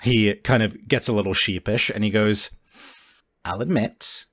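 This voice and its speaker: Low-voiced man as game master. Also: steady tone, moderate pace, fairly bright tone. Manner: casual, sweary, conversational. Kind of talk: improvised tabletop narration. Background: faint background music.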